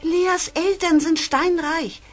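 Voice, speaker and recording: A woman's voice: very high-pitched, fairly even intonation, slow pace. An actress playing a gossipy, secretive schoolgirl in a radio play, dramatic and insistent, eager and easily offended. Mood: urgent, dramatic. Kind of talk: German radio play acting for young listeners.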